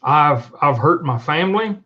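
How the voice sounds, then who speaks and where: A man's voice: fairly high pitch, expressive, unhurried, bright, forceful tone. Blunt, profane man in dull lo-fi audio. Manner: blunt, profane, emphatic, repetitive. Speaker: online show host. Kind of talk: unscripted monologue rant to camera. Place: indoors at a computer.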